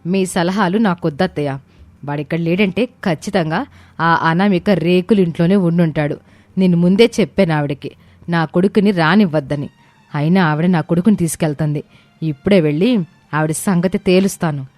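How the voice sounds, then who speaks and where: A woman's voice: fairly low pitch, normal speed, darkish tone, dry recording, faint music behind, lightly acted. A woman voicing an arrogant, angry young mother in a family story, scolding and accusing. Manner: scolding, accusing, rhetorical questions. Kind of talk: dramatized Telugu family story acting.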